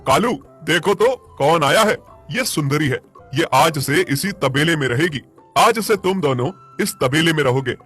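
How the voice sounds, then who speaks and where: A man voicing a friendly, practical village man in an animated moral story, conversational and advising. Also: fairly high pitch, breathy edge.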